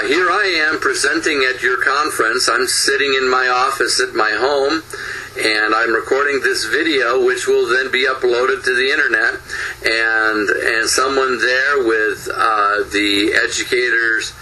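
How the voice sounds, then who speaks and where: Male lecturer, very bright voice, quick with many restarts, faint hiss behind. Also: medium pitch, low-quality recording. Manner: talks fast with frequent restarts. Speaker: conference presenter. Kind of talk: recorded lecture. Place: home office.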